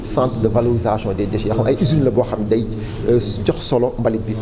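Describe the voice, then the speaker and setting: A man with a Senegalese accent giving formal interview answers as a project official, halting often and repeating words. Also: mid-range voice, steady tone. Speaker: official spokesman for a public waste management project. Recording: clearly noisy background ambience.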